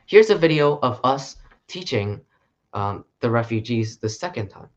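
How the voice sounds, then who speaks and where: A man presenting a short conference talk in an earnest, steady way. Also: mid-range voice, a little slow, dull audio. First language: English.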